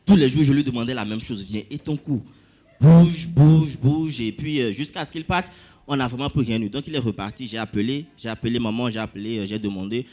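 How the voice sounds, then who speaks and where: A man with a West African French accent telling a church testimony, animated and full of hesitations. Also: medium pitch, fairly quick. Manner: animated storytelling with frequent hesitations. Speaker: church member giving testimony.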